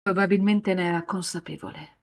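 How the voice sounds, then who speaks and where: A woman's voice: on the low side, fairly even intonation, fairly quick, vocal fry. A woman hosting a live reading, moving between scripted narration and casual chat with co-readers. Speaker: host and narrator of a live visual novel reading.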